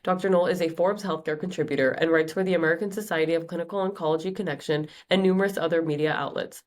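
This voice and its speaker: A young woman in her twenties introduces a guest from a prepared script. Her voice sits a bit low, and she reads the bio in a steady, measured way. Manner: reading a guest bio aloud. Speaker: medical student host. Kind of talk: read introduction.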